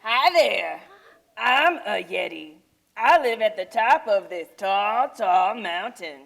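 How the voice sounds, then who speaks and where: A woman playing a lonely, gentle male yeti in a children's puppet show, creaky, simple and friendly in manner. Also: mid-range voice, animated, slow pace, exaggerated voice. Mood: gentle, wistful. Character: misunderstood, lonely, gentle male yeti living atop mountain.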